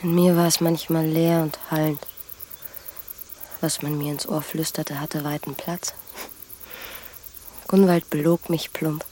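A sorrowful, regretful woman in a radio play. She speaks solemnly in a flat, subdued tone, with faint noisy ambience behind her. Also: low voice, a little slow, pauses often. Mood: sad regret. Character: sad, regretful woman, once courted and deceived. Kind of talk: German literary radio drama acting.

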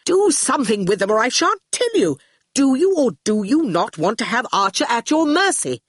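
A woman's voice: high voice, huge pitch swings, normal speed, dry recording, studio quality, pitched above the performer's own voice. A woman voicing a theatrical, imperious younger brother in a storybook, breathless and impatient.

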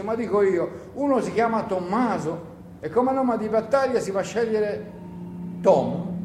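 A man performs a grumbling, sardonic partisan in a radio play. The voice is high for a man, rambling and teasing, full of needling questions. It rings in a roomy, echoing space with a faint layer of noise. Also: a little mellow, close to an everyday voice. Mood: mocking. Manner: rambling, mock-rhetorical, needling listeners with questions. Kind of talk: theatrical radio play monologue.

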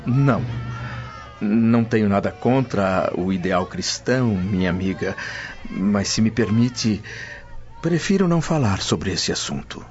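Low-voiced, cultured Roman freedman. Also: lively intonation, darkish tone. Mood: earnest, courteous. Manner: formal, measured, earnest. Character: cultured, courteous freed slave teacher in ancient Rome. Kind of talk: radio drama acting. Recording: slightly dull audio with music.

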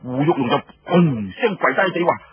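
A man with a Cantonese accent and a husky voice, narrating a story in colloquial, dramatic storyteller style. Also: on the high side, lively intonation, moderate pace, no room sound, muffled recording.

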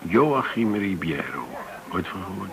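A man's voice: low voice, big pitch swings, measured pace, gravelly, crowd noise, heavily acted. An actor in a radio drama playing a terse, wary man sounding out a contact.

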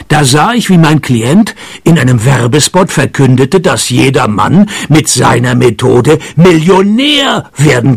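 An actor playing a wry private detective in a comic radio play, with a dry, sarcastic, creaky delivery. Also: male voice, mid-range voice, full character voice.

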